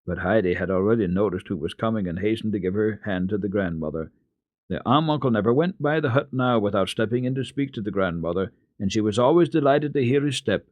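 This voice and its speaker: A man giving a measured, dramatic reading of a children's story as a radio storyteller. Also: mid-range voice, no room sound, clean studio sound. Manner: expressive, measured storytelling delivery. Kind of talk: dramatic scripted narration of a children's book.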